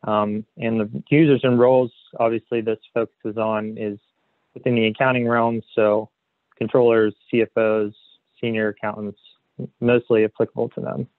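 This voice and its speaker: A man presenting a software webinar as a consultant, explaining slides in a hesitant way padded with "you know". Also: fairly low pitch, flat delivery, moderate pace. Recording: phone-like narrow band, roomy echo.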